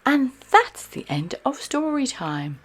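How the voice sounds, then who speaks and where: Woman reading a kids picture book, super slow n swoopy, breathy emphasis, music behind. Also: high-pitched, big pitch swings. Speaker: children's storyteller. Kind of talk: expressive picture-book read-aloud.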